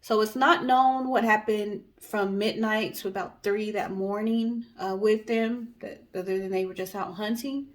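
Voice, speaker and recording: A woman's voice: mid-range voice, steady tone, unhurried, few pauses. A woman co-hosting a storytelling show, talking in a casual, conversational way with chatty explanatory asides. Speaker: co-host telling stories in a guessing-game show.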